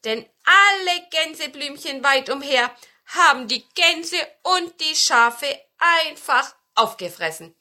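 Woman as high, excitable little dwarf. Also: takes it slowly, full character voice. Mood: pleased. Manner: high, forceful, excited delivery. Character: tiny garden dwarfs, excitable, polite and grateful.

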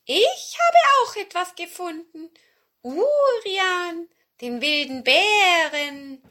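A woman's voice: very high-pitched, expressive, sharp tone, pitched above the performer's own voice, full character voice. A woman voicing an ancient, sly female cat, meowing and coaxing as she talks in a children's story. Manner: meowing, drawn-out wheedling speech.

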